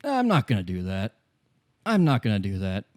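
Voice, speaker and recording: A man's voice: lowish voice, huge pitch swings, normal speed, darkish tone, voice pushed down. A man playing a wary, bitter fugitive woman in a tabletop radio play, dismissive and sardonic, repeating himself for emphasis. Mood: guarded, insistent. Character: wary red-haired gunwoman in hiding, defiant and bitter.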